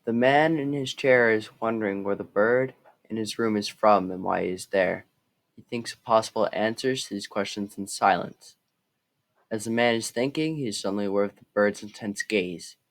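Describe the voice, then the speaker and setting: A man's voice: on the low side, normal speed, creaky. A man narrator reading a scripted story aloud.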